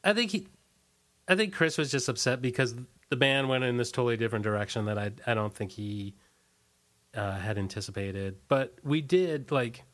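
A middle-aged American man reminiscing casually as an interview guest, talking in a halting, rambling way with lots of "like". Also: mid-range voice, expressive, fairly bright tone. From Washington, D.C., USA. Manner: halting, hesitant, rambling, frequent "like".

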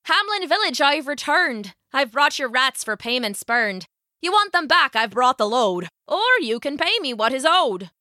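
A woman's voice: high voice, very animated, moderate pace, pushed and bright, no echo, studio quality, pitched above the performer's own voice, heavily acted. A woman voicing a jaunty male piper, a wandering storybook trickster who speaks in sing-song rhyme. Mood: bold, calling out. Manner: sing-song rhyming delivery.

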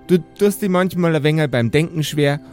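Man playing a bossy Bavarian mother, highish voice. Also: a little fast, darkish tone, soft background music, natural voice. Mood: proudly instructing. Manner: instructing, exasperated, rustic. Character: inventive, bossy mother of grown sons.

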